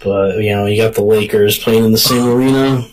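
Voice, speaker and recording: A man hosting a casual sports talk show, speaking in a deliberate, halting way. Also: fairly low pitch, fairly even intonation, recorded from a distance, poor audio. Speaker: sports podcast host.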